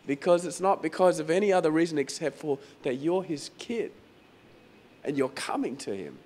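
A man preaching a sermon as pastor in a croaky, hoarse voice, talking conversationally and repeating phrases for emphasis. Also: a little high, expressive, normal speed, a little mellow. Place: venue hall during a church service.

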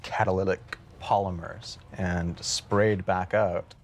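A man's voice: low-pitched, steady tone, slow, a little hiss or hum. A man in his thirties explaining his work as a creature designer in a behind-the-scenes interview. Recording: music playing behind the voice.

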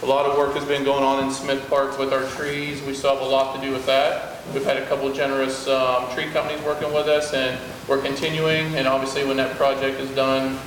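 Man, halting report, flat delivery, very echoey hall, far-off camera. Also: mid-range voice, background noise. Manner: plain, halting, many fillers. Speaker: city service director. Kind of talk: spoken report to a council. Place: large wood-paneled meeting hall. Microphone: far room camera.

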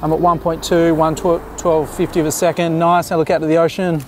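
A man in his thirties directing a beach photo shoot, relaxed and chatty, with many restarts and fillers, recorded outdoors at the shore. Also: on the high side, fairly quick, a little hiss or hum. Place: open sandy beach at sunset, outdoors. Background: music.

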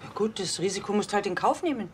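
Actress as chatty, dreamy local woman, rapid delivery, clear background noise. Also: medium pitch, animated, natural voice. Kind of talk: natural TV comedy acting.

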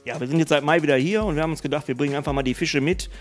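A middle-aged man and spa owner answering interview questions in a brisk, colloquial way, speaking into a handheld mic. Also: fairly high pitch, soft background music. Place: indoor spa studio. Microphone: handheld mic held by host.

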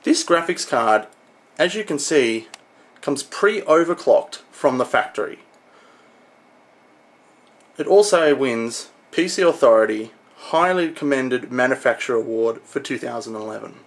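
A man's voice: medium pitch, expressive, unhurried. A young man giving an informal, explanatory product walkthrough on camera as a video presenter. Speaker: video presenter showing computer parts.

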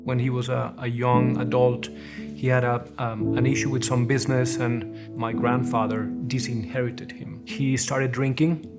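Man, 40s, from Colombia; flat, reflective testimony, guitar music loud underneath. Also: medium pitch, a little slow. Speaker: testimony speaker. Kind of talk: documentary testimony interview.

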